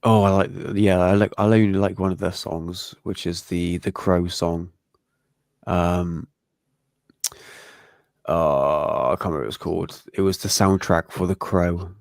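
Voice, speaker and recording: Low gravelly congested man. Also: steady tone, measured pace, no echo, clean studio sound. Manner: laid-back, friendly, calls the other host mate. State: congested, blocked nose. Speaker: podcast co-host. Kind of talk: casual live-stream chat.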